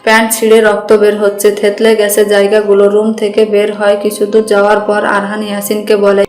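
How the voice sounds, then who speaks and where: Very animated woman narrator, roomy sound. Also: mid-range voice, fairly quick. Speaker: story narrator. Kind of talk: dramatic scripted story narration. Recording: roomy echo with music bed.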